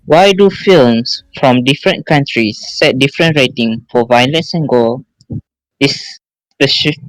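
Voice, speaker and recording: A man's voice: medium pitch, a bit bright, no echo, low-quality recording. A man hosting a casual podcast, putting questions to the group in a hesitant way. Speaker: podcast host reading questions.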